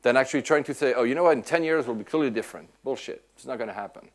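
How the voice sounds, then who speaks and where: A Swiss-born man answering audience questions in casual, animated talk, often restarting his sentences. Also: mid-range voice, racing. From Switzerland. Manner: animated, many restarts and repeats. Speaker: tech speaker answering questions. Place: lecture room at an institute.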